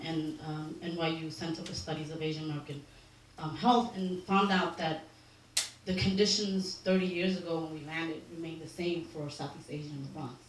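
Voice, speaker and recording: Thirties woman organizer, chatty and self-mocking, lowish voice, far off in a very reverberant, noisy hall. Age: 30s. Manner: lively, laughing, self-deprecating asides. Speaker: community organizer. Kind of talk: informal talk to doctors. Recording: very echoey hall audio from far off, with noise.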